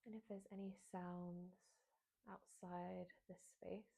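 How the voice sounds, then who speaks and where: A woman leads a guided meditation in a mellow, husky voice with a gravelly fry at the edges. She speaks softly and soothingly, sometimes near a whisper, leaving unhurried pauses between phrases. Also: on the low side, little pitch movement. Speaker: meditation guide, yoga teacher.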